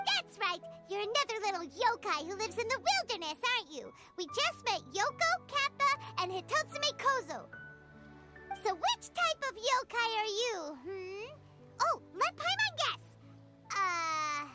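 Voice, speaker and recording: A woman voicing a tiny, childlike, chirpy floating fairy companion in a video game, squeaky and excitable. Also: exaggerated voice. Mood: cocky. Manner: excitable, refers to herself in third person. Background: loud game music.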